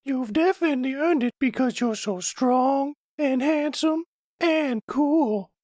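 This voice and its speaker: A man putting on a falsetto as a pretend boss, mock-sweet and fawning with exaggerated praise, in a roleplay. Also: unhurried, darkish tone, no room sound, clean studio sound. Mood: mock adoring.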